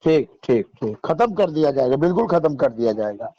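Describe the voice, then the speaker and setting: A man's voice: on the high side, very animated, moderate pace, warm tone. A man on a recorded phone call, answering in a deferential, placating and noncommittal way. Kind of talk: phone conversation, short deferential replies.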